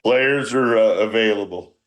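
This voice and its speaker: A man's voice: on the low side, measured pace, fairly bright tone, poor audio. An older man chatting casually on a reunion call, telling stories in a blunt, profanity-laced way. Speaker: former baseball manager, podcast guest. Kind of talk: casual reunion chat, storytelling.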